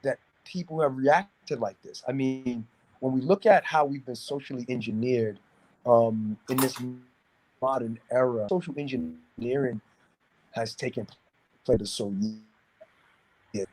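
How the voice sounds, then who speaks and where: A man from New City, talking casually and giving opinions in a halting way, repeating words as he searches for phrasing. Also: mid-range voice, a little mellow, rough recording. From New York City, United States. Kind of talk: casual discussion, opinionated talk.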